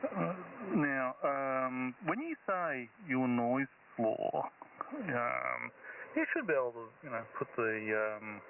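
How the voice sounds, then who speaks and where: A man's voice: medium pitch, big pitch swings, slow, gravelly, recorded from a distance. A man chatting casually on a ham radio net, rambling with lots of fillers and restarts. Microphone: heard over shortwave radio. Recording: tinny radio with faint static.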